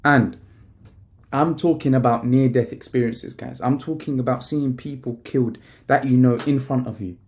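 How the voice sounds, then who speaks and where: A young man from Palestine, talking to the camera in a casual, emphatic vlog style, with slang and a coach's pitch. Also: fairly even intonation, normal speed, darkish tone, slight background noise. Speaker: online business coach.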